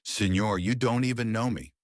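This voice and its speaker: A man's voice: fairly low pitch, normal speed, dry recording, studio quality, pitched above the performer's own voice, natural voice. A man voicing a humble, earnest and grateful working woman in a narrated story. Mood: disbelieving. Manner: earnest, polite.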